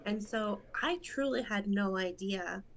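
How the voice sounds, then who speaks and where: A woman in her thirties from Rochester, New York, a judicial candidate talking earnestly and in detail in an interview. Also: medium pitch. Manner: earnest, detailed, quick in stretches. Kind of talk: casual interview talk.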